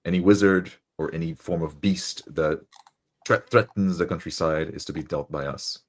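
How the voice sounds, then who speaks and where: A man's voice: sits low, normal speed. A man voicing a pompous noble knight from the north, speaking in a grand, overly formal manner. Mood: grandly vowing.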